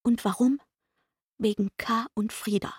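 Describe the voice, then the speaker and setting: Husky, indignant young chambermaid. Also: female voice, fairly high pitch, steady tone, unhurried, darkish tone, no room sound, clean studio sound. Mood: indignant, insistent. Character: aggrieved young chambermaid turned barmaid, pouring out complaints. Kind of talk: literary radio play monologue.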